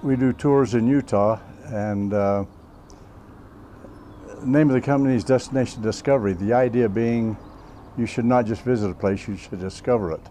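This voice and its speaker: A man giving an unscripted interview as a tour operator, with a hesitant, halting delivery. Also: mid-range voice, dark tone, slight background noise.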